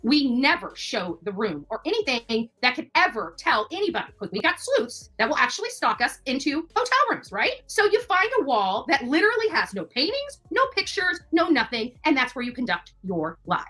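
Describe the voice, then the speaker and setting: A woman's voice: high-pitched, sing-song, moderate pace, few pauses, sharp tone, breathy edge. A woman on a livestream from her home, combatively calling someone out with scornful, sassy jabs like "babe" and "honey". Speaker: YouTube live streamer. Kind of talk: ranting livestream callout.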